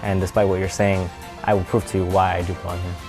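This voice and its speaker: A man's voice: sits low, flat delivery, fast talker, music in the background. A man speaking steadily and with pride in a documentary interview.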